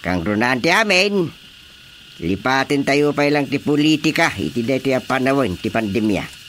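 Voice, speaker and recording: A man's voice: medium pitch, normal speed. A man acting an authoritative, orderly village chairman in an Ilocano radio drama, emphatic and official, with an Ilocano accent. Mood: stern, official. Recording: steady background noise.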